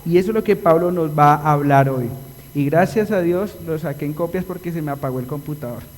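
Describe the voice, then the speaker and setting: A man preaching a live sermon in a chapel, explaining a text and asking the congregation questions, drawing out key words slowly. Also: a little high, darkish tone, a little hiss or hum. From Colombia. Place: church chapel. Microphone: livestream recording. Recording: long reverb of a church hall.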